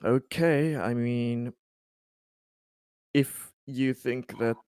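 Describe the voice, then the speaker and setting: A man playing a tabletop roleplaying game, talking partly in character in a hesitant, halting way. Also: mid-range voice, steady tone, darkish tone, clean tone, no room sound, studio quality. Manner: hesitant, halting, repeats himself.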